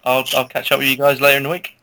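British man, casual podcast chat, quick delivery, bright forward tone. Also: mid-range voice, monotone. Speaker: podcast co-host.

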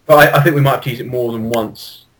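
A man co-hosting a podcast in casual chat, with an excitable, rambling way of talking. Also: medium pitch, quick pace, noisy background. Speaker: podcast co-host.

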